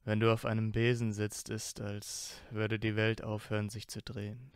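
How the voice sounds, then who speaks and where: A man's voice: fairly low pitch, monotone, normal speed, clean tone, dry recording, clean studio sound, natural voice. A man playing a proud young blond wizard student with a secretly tender side in an amateur audio drama. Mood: earnest, tender.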